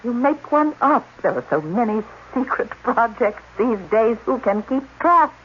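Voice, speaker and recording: A man's voice: extremely high pitch, expressive, measured pace. A man playing a cunning, impassioned scientist in a radio drama, speaking with intense, persuasive insistence. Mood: persuasive, urgent. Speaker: actor. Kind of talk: 1980s radio drama acting. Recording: dull, noisy old radio recording.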